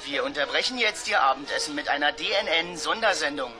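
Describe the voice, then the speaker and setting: An actor voicing a solemn dinosaur television newsreader, husky, formal and emphatic, in a sitcom dub. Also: male voice, fairly high pitch, lively intonation, moderate pace, music in the background, natural voice. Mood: urgent, official. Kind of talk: mock news bulletin.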